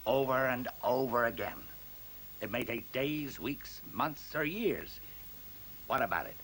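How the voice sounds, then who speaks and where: An actor playing a resolute, intellectual male scientist in a 1970s radio play, deliberate and authoritative. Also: mid-range voice, lively intonation, fairly bright tone, rough recording. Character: grave, resolute, intellectual scientist taking charge. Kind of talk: 1970s radio drama acting. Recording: hissy 1970s radio recording.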